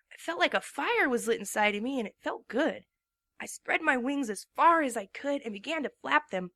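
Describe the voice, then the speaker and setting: A woman's voice: a little high, a bit bright, no room sound, studio quality, exaggerated voice. A woman voicing a tired young female pegasus who narrates her own story in the first person with a drowsy, worn-out delivery. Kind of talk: first-person narration in a fan dramatic reading.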